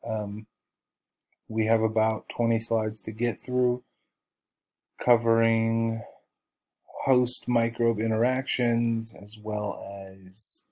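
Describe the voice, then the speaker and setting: Stuffy-voiced male instructor, slow and measured, reads course slides with frequent pauses. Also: on the low side, mellow. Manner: slow, halting, many ums and uhs. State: stuffy from allergies. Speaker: college biology instructor. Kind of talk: recorded lecture over slides.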